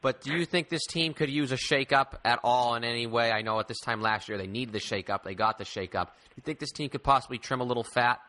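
A man's voice: mid-range voice, quick pace, few pauses, sharp tone. A man hosting a casual sports interview, blunt with his opinions and repeating points for emphasis. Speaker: sports podcast host.

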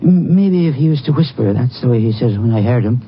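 A dull, faintly noisy old radio recording carries an actor as an anxious male witness. His medium-pitched voice is halting and earnest, that of an ordinary man caught up in a crime drama. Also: expressive, natural voice. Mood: unsure. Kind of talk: 1950s western radio drama acting.

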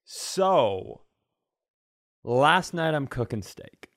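A man's voice: mid-range voice, sing-song, drawn-out words, a bit bright, dry recording, studio quality. A man co-hosting a comedy podcast, telling stories in a casual, self-deprecating and sarcastic way, with casual swearing. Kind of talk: casual comedic chat and storytelling.

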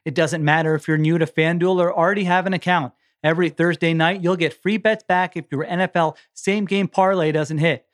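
A male podcast host with a somewhat high voice for a man. He speaks in a casual sports-talk style, also when reading from copy.

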